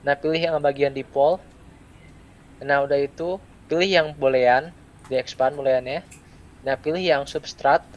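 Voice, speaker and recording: A young adult man gives a step-by-step software tutorial, speaking in short, halting phrases with pauses. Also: medium pitch, steady tone, lo-fi sound. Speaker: student presenter giving a software tutorial. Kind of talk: step-by-step screen tutorial, unscripted. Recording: clear background noise.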